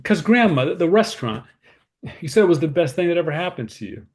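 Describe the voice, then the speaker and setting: A man's voice: a little high, very animated, darkish tone. Man acting an anxious, apologetic cousin. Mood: flustered, apologetic. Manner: halting, apologetic. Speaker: actor at a script table read. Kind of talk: naturalistic script reading.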